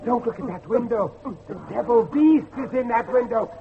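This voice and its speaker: A man's voice: very high-pitched, normal speed. An actor playing a desperate, pious middle-aged father pleading urgently with his son in a horror audio drama. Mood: urgent, coaxing. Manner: preacherly, urgent, repeating himself. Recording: dull lo-fi audio, loud background noise.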